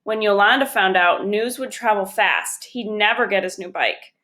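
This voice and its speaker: A woman reading a children's chapter book aloud, narrating it expressively and deliberately. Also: medium pitch, sharp tone. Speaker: storybook reader.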